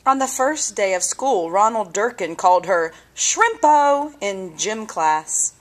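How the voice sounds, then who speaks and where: A woman reading a children's story aloud with deliberate, expressive phrasing and dramatic pauses. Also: mid-range voice. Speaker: storybook reader.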